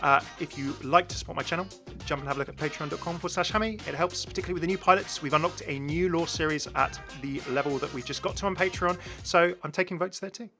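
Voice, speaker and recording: Bright-voiced man hosting a gaming video. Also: on the high side, fast, hardly any pauses, faint music behind. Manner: chatty, enthusiastic, exclaiming. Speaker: host of a gaming channel. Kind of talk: casual gameplay showcase commentary.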